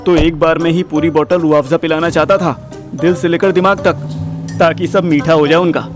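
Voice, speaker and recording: A man's voice: on the high side, fairly even intonation, brisk, over music, lightly acted. A man playing a frustrated, jokey, protective young boyfriend in an audio drama, talking in slangy Hinglish. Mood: teasing. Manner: slangy Hinglish, exasperated, wisecracking.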